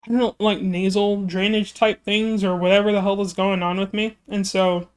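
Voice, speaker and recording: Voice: medium pitch, level tone, normal speed, smooth. Chatty streamer with a frayed throat. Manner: rambling and chatty, many fillers and self-interruptions. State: throat trouble, voice going in and out. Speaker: music video reaction streamer. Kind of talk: casual chat to camera.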